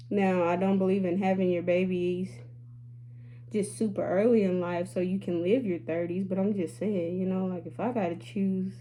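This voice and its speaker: A woman's voice: mid-range voice, warm tone, slight background noise. A woman in her late twenties talking candidly to her viewers in a casual vlog, chatty and sassy, calling them y'all. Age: 20s. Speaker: beauty vlogger.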